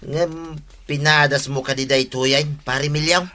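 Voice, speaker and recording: Sharp, bright-voiced scheming village husband. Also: male voice, on the high side, fairly even intonation, measured pace. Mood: doubtful. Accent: Ilocano. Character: scheming, henpecked village husband who raises pigs. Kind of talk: comedy radio drama acting.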